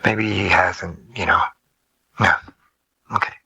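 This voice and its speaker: A man with a low, very raspy voice and plenty of creak bantering off script. His tone has a hard, forceful edge. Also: steady tone, slow. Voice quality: husky, gravelly. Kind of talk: casual off-script studio banter.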